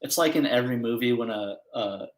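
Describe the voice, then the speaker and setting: A man running a tabletop game as game master, describing scenes in a relaxed way with frequent fillers. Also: medium pitch, brisk, reverberant.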